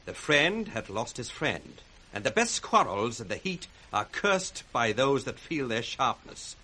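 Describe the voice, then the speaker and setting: A man's voice: mid-range voice, very animated, measured pace, sharp tone, slightly rough, exaggerated voice, poor audio. An actor playing a cold, ambitious, scheming young nobleman, theatrical and commanding, in 1960s radio Shakespeare. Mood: smooth, guarded. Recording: faint noise on old radio recording.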